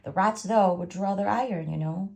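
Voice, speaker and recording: A woman's voice: medium pitch, normal speed, creaky, warm tone, close to an everyday voice. A woman voicing a sweet, chattering young girl from a storybook, dreamily telling tales. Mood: chatty. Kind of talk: storybook character voice.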